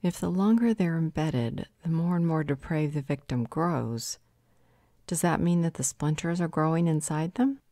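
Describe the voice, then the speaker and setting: A woman playing a thoughtful, strong-willed vampire, a werewolf's mate, speaking in a measured, dryly assertive way in a roleplay audio drama. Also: low voice, dark tone, no echo, studio quality, lightly acted. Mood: puzzled, analytical.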